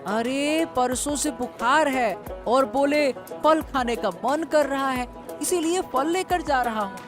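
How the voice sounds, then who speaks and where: A high-voiced, earnest young boy played by a woman speaks politely and caringly, as in a cartoon village tale. Music plays clearly behind the voice. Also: slow. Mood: polite, casual. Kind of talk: Hindi cartoon story dialogue.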